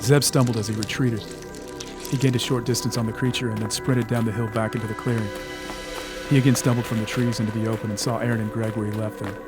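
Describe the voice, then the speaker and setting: A man's voice: fairly low pitch, little pitch movement, fast. A man narrating a scripted audio drama in a suspenseful storytelling manner. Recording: music bed under the voice.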